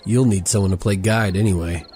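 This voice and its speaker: A man playing a gruff warrior companion with a dry, terse way of speaking. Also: low-pitched, fairly even intonation, fairly quick, darkish tone, close to an everyday voice.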